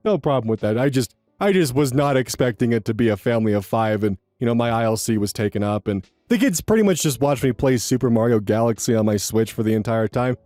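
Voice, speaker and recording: A man's voice: mid-range voice, lively intonation, quick pace, hardly any pauses, warm tone, no echo. A young man reading online posts aloud and commenting on them in a rambling, casual way, repeating words and swearing now and then.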